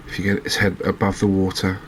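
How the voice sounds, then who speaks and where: A man giving relaxed ASMR commentary over a fishing video game, chatting to viewers and often checking in with tag questions. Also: low voice, fast talker, a little hiss or hum, rough recording. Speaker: gaming video host.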